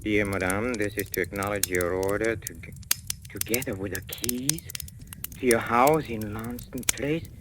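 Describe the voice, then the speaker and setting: A man's voice: on the low side, moderate pace, noisy background, close to an everyday voice. An actor playing a furtive professor with a guilty secret in 1940s radio suspense style, hesitant and stammering in his speech. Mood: mounting dread. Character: furtive professor, nervously jolly, haunted wife-killer. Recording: vintage radio recording, music underneath.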